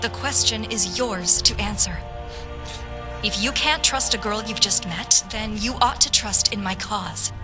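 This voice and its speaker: A woman's voice: medium pitch, measured pace, natural voice. A woman playing an earnest, patriotic young noble lady officer in a fantasy game, speaking formally and persuasively. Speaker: voice actor in a fantasy game quest mod. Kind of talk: scripted fantasy game dialogue. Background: background music.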